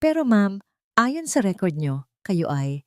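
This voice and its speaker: A woman voicing a serious, tired doctor who speaks gravely and urgently in an audiobook drama. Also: mid-range voice, very animated, unhurried, darkish tone, no room sound, studio quality. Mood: hesitant.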